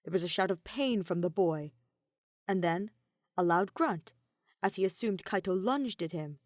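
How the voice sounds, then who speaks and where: A woman reading scripted fiction as an expressive audiobook narrator. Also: fairly low pitch, moderate pace, no echo, clean studio sound. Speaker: podfic narrator.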